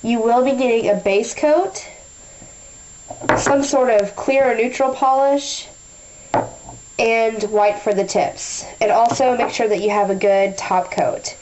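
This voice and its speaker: A young woman talking through a nail tutorial in a chatty way, a little nasal from a sinus infection, often clearing her throat. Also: a little high, takes it slowly, background noise. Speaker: beauty vlogger. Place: small room at a table.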